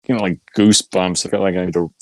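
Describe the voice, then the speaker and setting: A man co-hosting a podcast in casual, joking chat, with animated, exclamatory reactions. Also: sits low, fast, no echo. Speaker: podcast co-host.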